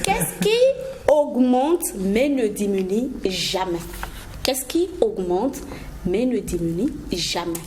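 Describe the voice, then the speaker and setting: A woman hosting a TV talk show, running a riddle game with guests in a studio, lively and laughing, reading riddles slowly. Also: medium pitch, a little hiss or hum. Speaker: TV show host. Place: TV studio set.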